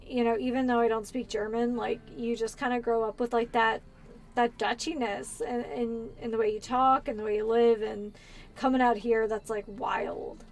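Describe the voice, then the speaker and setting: Chatty Californian woman streamer, slightly high. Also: little pitch movement, moderate pace, slight background noise. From Pennsylvania. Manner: chatty and rambling. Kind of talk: casual gameplay chat.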